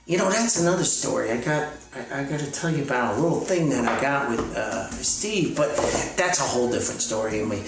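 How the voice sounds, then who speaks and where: A man's voice: mid-range voice, animated, fairly quick, far from the mic, noisy background. A man giving a rambling, casual comic monologue in a folksy, hesitant, mock self-important way. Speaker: author hosting his own comedic podcast. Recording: echoey room, off mic, music.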